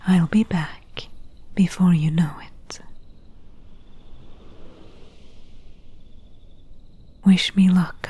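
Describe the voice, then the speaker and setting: A woman playing a gentle, solemn friend in an ASMR roleplay, speaking in a breathy, hushed whisper with deliberate pauses. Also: lowish voice, heavily acted. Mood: gentle, reluctant. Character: gentle, solemn friend warning of night spirits.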